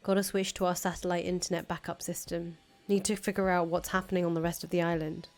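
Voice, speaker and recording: A woman's voice: on the low side, little pitch movement, quick pace, a little mellow, soft background music, natural voice. A woman voicing a brash, foul-mouthed young female rebel leader in a visual novel, blunt, bossy and terse. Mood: grim, decisive. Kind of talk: acted visual novel dialogue.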